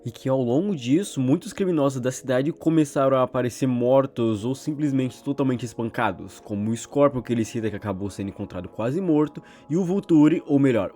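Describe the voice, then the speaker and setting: A man's voice: medium pitch, mellow. A man with a Brazilian accent doing informal video narration as a channel host, retelling and commenting on horror stories in a conversational way. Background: background music.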